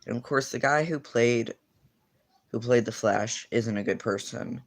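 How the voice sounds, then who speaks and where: A man's voice: medium pitch, a little slow, gravelly. Rambling young male streamer. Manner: rambling, filler-heavy, self-correcting. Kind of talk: casual livestream chat reading comments.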